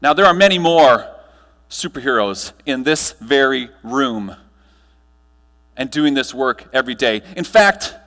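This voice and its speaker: A man giving a motivational keynote to a live audience, telling stories with dramatic pauses and emphatic delivery. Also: medium pitch, animated, a bit bright. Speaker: keynote speaker. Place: large room, live audience, amplified. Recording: echoey hall, long reverb.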